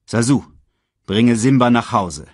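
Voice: mid-range voice, measured pace, dry recording, studio quality, voice pushed up, natural voice. A narrator doing a firm, commanding lion king. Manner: firm, authoritative.

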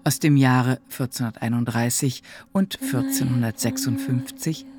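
A man narrating a documentary-style radio drama in a measured, factual way, as its announcer. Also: medium pitch, lively intonation.

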